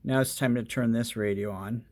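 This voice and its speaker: A man's voice: medium pitch, steady tone, normal speed. A man narrating a casual hands-on electronics demonstration as its host.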